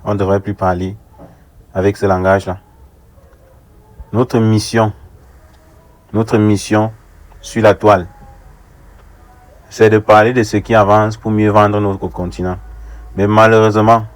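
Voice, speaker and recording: Young man, from Africa, low voice, earnest opinion monologue, deliberate, rhetorical repetition. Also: flat delivery, clear, resonant tone. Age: young adult. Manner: deliberate, rhetorical, repeats phrases for emphasis. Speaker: online commentator.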